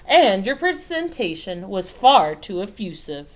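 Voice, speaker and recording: Woman as a stern businesslike father, unhurried. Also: medium pitch, sing-song. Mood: stern, critical. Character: stern, businesslike father rejecting a child's proposal.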